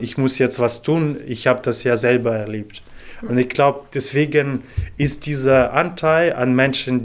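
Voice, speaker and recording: A young man from Ukraine speaks as an interview guest, slowly and with little rise and fall in his voice. He pauses often and searches for words. His pitch sits in an ordinary middle range. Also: clear, resonant tone. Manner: slow, measured, many pauses and fillers. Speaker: interview guest, volunteer organizer. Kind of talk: thoughtful interview answers.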